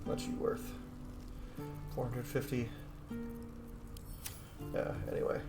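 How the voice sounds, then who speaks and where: A man's voice: mid-range voice, monotone, slow. A man giving casual gameplay commentary, muttering and talking to himself as he plays. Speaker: game streamer doing a playthrough. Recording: game music behind voice. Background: video game music.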